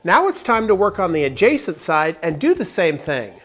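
A man's voice: sits high, very animated, normal speed. A man in his forties hosting a woodworking tutorial, explaining each step in an upbeat, step-by-step way from a large indoor workshop. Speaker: woodworking channel host.

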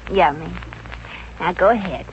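A woman's voice: fairly low pitch, measured pace, close to an everyday voice. An actress with an American accent playing a brash, vain, pushy but affectionate girlfriend in a 1950s radio comedy. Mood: cooing, coy. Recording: muffled, noisy vintage radio recording.

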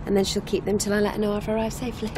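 A woman's voice: mid-range voice, flat delivery, racing, close to an everyday voice. A woman acting a wary, plain-spoken young hitch-hiker in a naturalistic radio drama, talking over car noise. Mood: matter-of-fact. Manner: guarded, matter-of-fact. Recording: clear vehicle noise around the voice. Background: car engine and road ambience.

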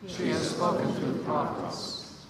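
Priest, male, slightly high voice, measured creed recitation, far mic in a reverberant church with the congregation speaking along. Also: animated, heavy background noise. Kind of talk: leading the creed. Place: church sanctuary.

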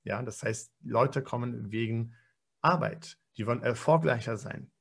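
A man's voice: medium pitch, a little slow, gravelly, breathy edge. A man in his forties giving an online pronunciation lecture over a webcam, explaining with frequent fillers and self-corrections. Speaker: pronunciation trainer. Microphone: webcam video call.

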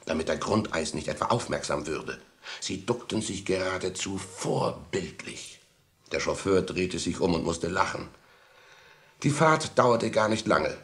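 A man's voice: sits low, fairly bright tone. A man narrating a children's radio play as a scripted storyteller.

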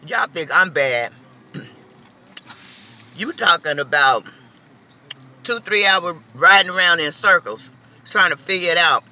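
Middle-aged woman food vlogger, bright and lively, talks straight to viewers from her car. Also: on the low side, measured pace, long pauses. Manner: chatty, animated, addresses viewers as y'all. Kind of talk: casual eating vlog. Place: inside a car.

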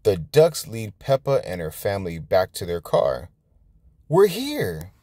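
A man reading a children's storybook aloud as a storytime host, expressively and with drawn-out words. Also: sits low, sing-song, mellow, dry recording, clean studio sound. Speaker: storytime channel host and reader.

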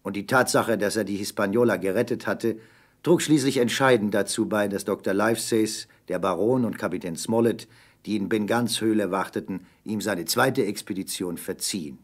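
A man's voice: fairly low pitch, moderate pace. A man narrating an adventure audio play as a scripted, dramatic storyteller.

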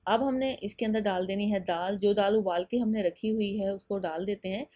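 A woman talks viewers through a recipe in a patient, instructive way, step by step. Her voice is mellow and soft-edged. The sound is close and dry, with no room around it. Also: medium pitch, moderate pace, no room sound. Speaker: cooking channel host.

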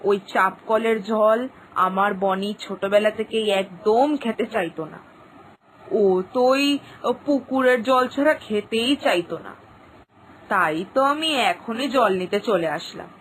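A doting, stubborn village mother from a cartoon, played by a woman, speaks in a fairly high voice. She is homely, chatty and a little plaintive, with faint noise in the recording. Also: lively intonation, measured pace, a bit bright, low-quality recording. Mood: defensive, wistful. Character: doting village mother, anxious yet stubborn, awaiting daughter. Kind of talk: dramatised cartoon dialogue.

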